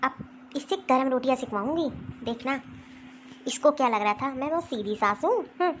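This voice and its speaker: Woman doing a bossy old mother-in-law, high voice, scolding and ordering around. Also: expressive, normal speed, a little hiss or hum. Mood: scheming. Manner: scolding, commanding, grumbling to herself. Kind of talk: family skit acting.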